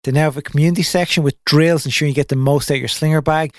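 A man's voice: a little high, steady tone, racing, dry recording, studio quality. A man hosting a tennis podcast, delivering an upbeat, chatty intro and sponsor reads before interviewing his guest. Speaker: podcast host.

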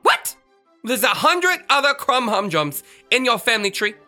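An actor playing a flamboyant, fussy, excitable radio star with emphatic, theatrical delivery in a children's audio drama. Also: mid-range voice, normal speed, soft background music. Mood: exasperated, bossy.

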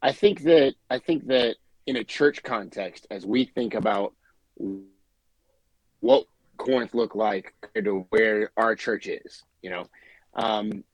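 A man in a casual church discussion, speaking in a halting, thoughtful way with repeated words. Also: fairly low pitch, dry recording, poor audio. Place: New England.

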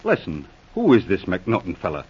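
A husky, folksy city cop. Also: male voice, deep voice, wildly swooping pitch, moderate pace, darkish tone, full character voice. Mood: amazed, excited. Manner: colloquial, folksy. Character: folksy, excitable city policeman, talkative and colloquial. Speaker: actor in a radio serial. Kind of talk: 1940s radio acting. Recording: dull lo-fi vintage radio recording.

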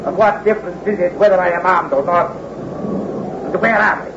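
An actor playing a defiant, imperious guardian of hidden gold in a theatrical, commanding 1960s radio drama style. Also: male voice, high-pitched, normal speed, close to an everyday voice. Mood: defiant, grave. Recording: dull, echoing, noisy old radio recording.

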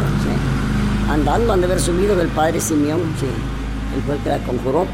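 A man's voice: a little high, background noise. A man with an Oaxacan Mexican accent, interviewed and recounting local lore in a hesitant way with many restarts. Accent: Oaxacan Mexican Spanish.

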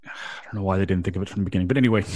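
A man's voice: deep voice, mellow, a little hiss or hum. A Canadian man hosting a radio show, chatting casually and laughing often. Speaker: podcast host.

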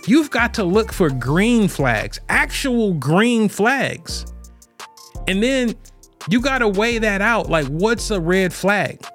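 A man's voice: sits high, very animated, slow, a bit bright, faint music behind. A man hosting a show alone and talking casually about relationships, rambling with lots of fillers and restarts. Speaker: podcast host. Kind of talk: casual solo talk on relationships.

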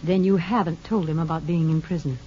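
An actress in a 1950s radio drama playing a firm, sensible parole officer who speaks in a measured, advising way. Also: female voice, low voice, steady tone, warm tone, natural voice. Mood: firm, cautioning. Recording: noisy, dull 1950s radio recording.

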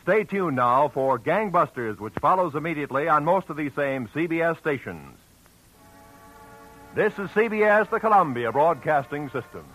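A male radio announcer giving the show's credits in a crisp, formal manner. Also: fairly high pitch, expressive, normal speed, a bit bright, rough recording. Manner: crisp, formal sign-off delivery. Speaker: show announcer. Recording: old radio broadcast with music.

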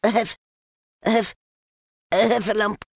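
A woman voicing a small, timid young male piglet in a storybook, excitable and stammering in a breathless way. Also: low voice, unhurried, no room sound, pitched above the performer's own voice, full character voice. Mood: breathless, flustered.

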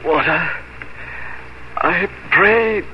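An actor in a 1950s radio drama playing a feverish dying man, weak, halting and pleading. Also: high voice, a little hiss or hum. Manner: halting, feeble. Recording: dull old radio broadcast, faint music.

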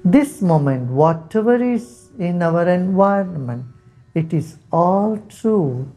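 Man guiding a meditation real slow, mellow low voice, gentle pauses, faint music under it. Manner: slow, soft, calm instructions with long pauses. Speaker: meditation guide. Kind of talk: guided meditation. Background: soft instrumental music.

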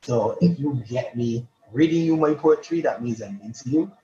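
A man from Barbados, a poet guest in a casual interview, talking haltingly with many restarts and repeated words. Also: mid-range voice, dark tone, lo-fi sound.

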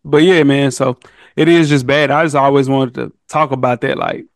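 A man's voice: medium pitch, normal speed, vocal fry, dry recording, clean studio sound. A man chatting casually as a podcast guest, emphatic and slangy, often calling people bro.

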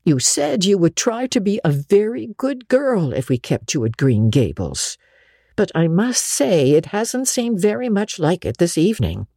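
A woman's voice: on the low side, very animated, a little slow, warm tone, husky, no echo, voice pushed up, exaggerated voice. A woman voicing a stern, no-nonsense older country woman in a storybook, dry and scolding. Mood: dry, reproving.

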